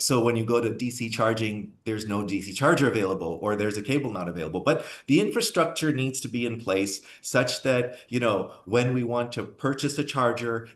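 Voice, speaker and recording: A man's voice: fairly low pitch, echoey room. A man from India who speaks with a Californian accent, answering as a panelist in a rambling way with many restarts. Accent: Californian English. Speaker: panelist, company founder.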